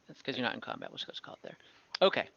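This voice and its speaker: A game master narrating an online tabletop game casually, in a halting, improvised way. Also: a bit bright, slight background noise. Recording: dull, dry online call audio.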